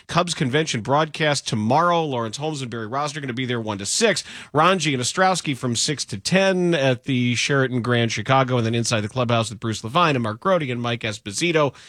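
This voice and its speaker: A man's voice: mid-range voice, lively intonation, a little fast, few pauses, fairly bright tone, no echo. Man, sports radio host, casual banter, joking, dry studio sound. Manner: joking, laughs at his own stories, trails off and restarts. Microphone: studio microphone.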